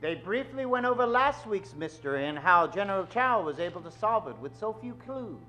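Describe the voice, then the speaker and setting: A man in middle age narrating an old-time radio drama on stage, suave, with dramatic pauses. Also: high-pitched, big pitch swings, pushed and bright, soft background music, poor audio. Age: middle-aged. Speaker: host and announcer of a radio show. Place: stage of a small theatre. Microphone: vintage-style stand microphone.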